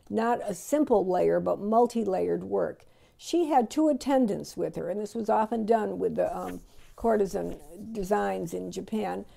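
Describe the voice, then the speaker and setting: Gravelly, mellow woman narrating an auction. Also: medium pitch. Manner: admiring, unhurried, with frequent ums and restarts. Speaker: auction host presenting antique dolls. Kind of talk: unscripted voice-over commentary.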